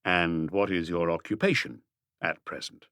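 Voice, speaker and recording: A man voicing a calm, ironic police inspector who questions in an unhurried, probing way in a mystery audiobook. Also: deep voice, no room sound, studio quality, voice pushed down. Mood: dry, probing.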